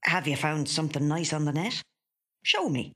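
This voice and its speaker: Gentle, husky, worried farmer husband by a woman. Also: deep voice, expressive, normal speed, exaggerated voice. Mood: gently coaxing. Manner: coaxing, solicitous.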